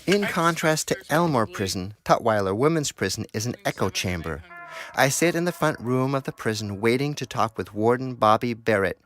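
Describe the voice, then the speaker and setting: Low-key scripted male documentary narrator. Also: lively intonation, moderate pace, a bit bright.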